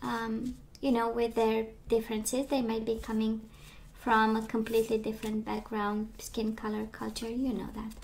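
Woman, tarot reader, voice giving out, fairly high, flat intonation, chatty, lots of fillers. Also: moderate pace, hardly any pauses, slight background noise. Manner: chatty, reassuring, many fillers and restarts. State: losing her voice, clearing throat. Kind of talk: casual tarot reading to camera. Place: indoors at a table of tarot decks.

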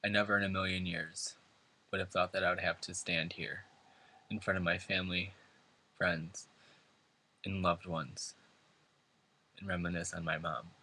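A man's voice: deep voice, level tone, moderate pace, long pauses, sharp tone. A man from New York State reading a prepared eulogy at a funeral, earnest and emotional. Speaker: son giving a eulogy. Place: funeral service before family and friends.

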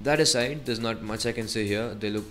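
Male tech presenter, lowish register, scripted review narration over a faint music bed. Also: a little fast, fairly bright tone. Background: background music.

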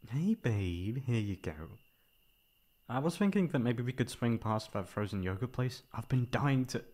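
A man's voice: medium pitch, expressive, moderate pace, darkish tone, slight background noise, close to an everyday voice. Man acting as a caring, teasing young boyfriend. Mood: concerned, coaxing. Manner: coaxing, breathy sighs, pet names. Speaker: voice actor playing a boyfriend. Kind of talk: ASMR boyfriend roleplay.

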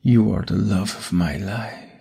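Guy doing a brooding vampire lover for asmr, super breathy and whispery, talks real slow, pleading and seductive. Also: fairly low pitch, mellow, exaggerated voice. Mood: tender, seductive. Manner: slow, intimate, dramatic pauses. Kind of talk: ASMR roleplay audio drama.

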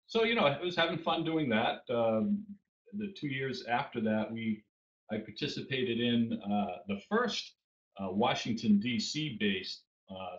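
Fiftyish Boston man, lowish voice, rambling guest, roomy muffled webcam audio. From Boston area. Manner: rambling with fillers and restarts. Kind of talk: interview answers. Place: home room. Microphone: video call webcam.

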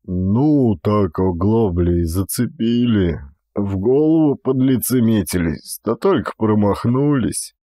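Man acting a gruff, burly Cossack lad. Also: on the low side, slow pace, mellow, dry recording, clean studio sound. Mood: gruff, dismissive. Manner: gruff, offhand muttering. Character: burly, reckless young Cossack son, gruffly shrugging off injury.

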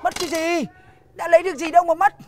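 Lazy panicky husband, really high voice, northern vietnamese, exclaiming, faint music. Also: male voice, steady tone, quick pace. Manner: stammering repetition, loud exclamations. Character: hapless, lazy, panicky husband pleading with wife. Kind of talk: broad comedy sketch acting.

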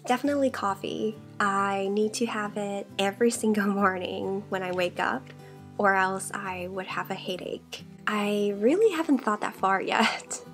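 A woman in her twenties from Taiwan, whose first language is Mandarin Chinese, answering questions about herself in a soft-spoken vlog. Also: mid-range voice, unhurried, soft background music. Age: 20s. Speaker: vlogger. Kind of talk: get-to-know-me Q&A vlog. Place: bedroom with shelves, indoors. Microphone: desk condenser mic in front.

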